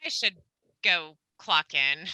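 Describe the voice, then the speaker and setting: A woman plays a polite coworker with a dry, teasing streak in a stage comedy. Her voice is strikingly bright and lively in pitch. She speaks unhurriedly and a little hesitantly. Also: slow pace, full character voice. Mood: awkward, teasing. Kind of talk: comedic play table reading.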